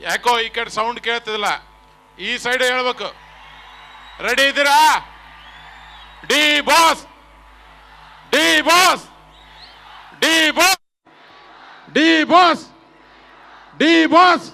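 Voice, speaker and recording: Male rally speaker, North Karnataka accent. Piercing, high-set voice with grit, working up the fans through a stage mic. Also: steady tone, slow, vocal fry, long pauses, pushed and bright. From Karnataka, India. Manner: rallying, chant-leading, addresses crowd directly. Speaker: guest speaker at a film promotion event. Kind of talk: rousing public stage speech to fans. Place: stage at a public event.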